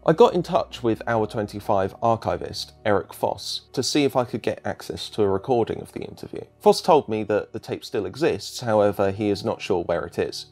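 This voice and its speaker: A man's voice: lowish voice, darkish tone. A man narrating a scripted video essay as its presenter.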